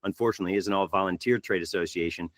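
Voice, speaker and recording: A man's voice: low voice, fairly even intonation, very fast, no echo. A man from the United States moderating a panel, talking conversationally and asking questions with plenty of fillers. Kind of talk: panel discussion.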